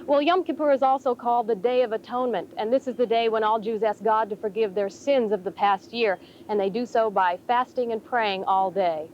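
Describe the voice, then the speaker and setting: A woman reporting live from outdoors on location, measured and explanatory. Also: on the high side, steady tone, a little mellow, highs cut off, slight background noise. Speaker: field reporter.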